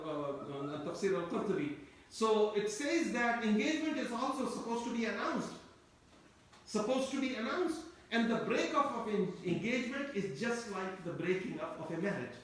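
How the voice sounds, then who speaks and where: A man who grew up speaking Turkish gives a Quran commentary lecture, wandering and repeating himself as he explains. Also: high voice. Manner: discursive, repeats and restarts phrases. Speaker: Quran teacher. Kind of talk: tafseer lecture. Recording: echoey room, mic at a distance.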